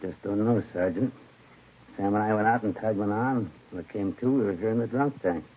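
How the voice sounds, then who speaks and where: An actor playing a hungover American laborer in a 1950s radio crime drama, slangy, aggrieved and rambling. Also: male voice, on the low side, brisk, phone-quality sound, lightly acted. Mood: disgruntled, groggy. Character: grumbling, hungover male laborer in jail. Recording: thin, faintly noisy vintage radio recording.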